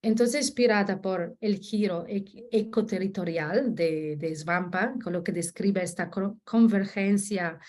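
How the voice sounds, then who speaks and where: A woman's voice: mid-range voice, a little slow, few pauses, a little mellow. A woman from Italy with an Italian accent, a native Italian speaker giving an academic presentation as a researcher, often searching for words and correcting herself. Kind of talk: academic presentation in Spanish as a second language.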